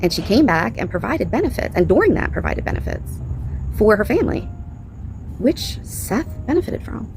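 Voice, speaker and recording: A woman's voice: mid-range voice, sing-song, moderate pace, creaky, a little mellow, a little hiss or hum, rough recording. A woman hosting a reaction channel, speaking casually and with strong opinions, leaning on repetition and rhetorical questions. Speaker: commentary channel host reacting to clips.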